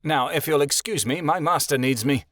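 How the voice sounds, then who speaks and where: A man voicing a cheerful, loyal young male scout who talks briskly. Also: a little high, fairly bright tone, no echo.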